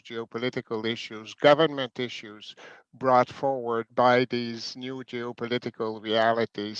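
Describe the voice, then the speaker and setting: A middle-aged university president from Canada speaking on a panel, measured, with frequent fillers and restarts. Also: male voice, medium pitch, smooth, dry recording, muffled recording. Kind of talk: panel talk.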